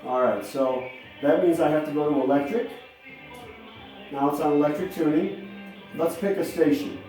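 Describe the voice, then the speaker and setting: Male radio restorer, informal and keen, soft mellow tone, casual phone-shot demo in a live-sounding room. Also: a little high, moderate pace. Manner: enthusiastic, folksy, informal. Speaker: radio restorer giving a demonstration. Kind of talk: casual hands-on demo chat. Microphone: handheld smartphone camera. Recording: roomy phone-camera sound. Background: vintage radio playing music.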